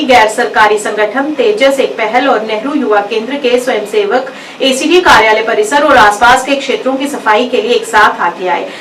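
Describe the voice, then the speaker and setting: Woman reading news in an echoey room. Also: a little high. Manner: formal, even. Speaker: news anchor. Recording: echoey, distant mic, faint hiss.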